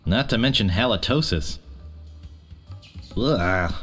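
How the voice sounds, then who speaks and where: A man's voice: lowish voice, animated, slow pace, fairly bright tone, soft background music, full character voice. A man playing a naive young would-be pirate in a video game, earnest and a little sarcastic. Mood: wry.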